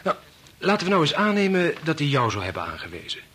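An actor with a Netherlands Dutch accent playing a reasonable researcher, emphatic and persuasive, in a radio drama. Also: male voice, on the high side, wildly swooping pitch, fast, a bit bright, husky, heavily acted.

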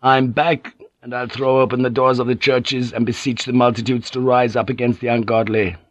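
Man doing a rasping friar, zealous, defiant, raised pitch, loud, dull sound. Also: little pitch movement, normal speed, dry recording, close to an everyday voice. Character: fiery hostile Spanish friar, middle-aged man.

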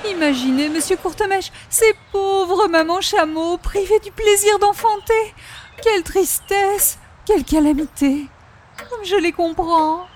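An extremely high-pitched, romantic middle-aged busybody, effusive and theatrical. She gushes in prim, flowery phrases in the manner of old radio comedy. There is faint noise behind the voice. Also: a little slow. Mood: earnest, lamenting. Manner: gushing, dramatic, prim, flowery.

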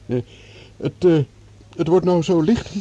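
An actor playing a polite, slightly hesitant assistant at a Mars base in a Dutch science fiction radio drama. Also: male voice, a little high, steady tone, unhurried, mellow, no room sound, muffled recording.

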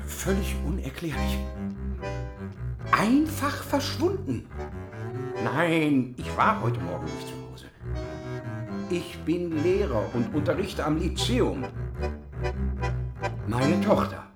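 An excitable middle-aged father. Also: slow pace, long pauses, reverberant, exaggerated voice. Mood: agitated. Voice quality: agitated, swings from calm to shrill. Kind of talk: radio play. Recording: radio drama with music behind.

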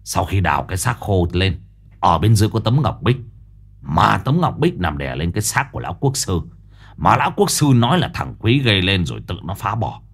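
A man voicing a gruff, impatient middle-aged investigator who growls and swears, in a husky voice. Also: low-pitched, very animated, brisk, exaggerated voice. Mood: insistent. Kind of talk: audiobook character voice.

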